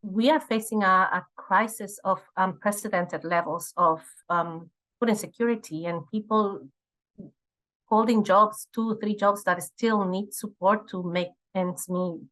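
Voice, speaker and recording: A woman in her forties from Ecuador, answering interview questions in an earnest, halting way with many fillers and repeated words. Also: mid-range voice, steady tone, fairly bright tone, low-quality recording. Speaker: guest, nonprofit fundraising director.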